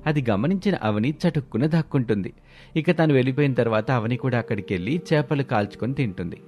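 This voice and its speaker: A man works as narrator of a scripted moral tale. His voice sits at a middle pitch, and music plays behind the narration. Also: expressive, fast talker, a little mellow.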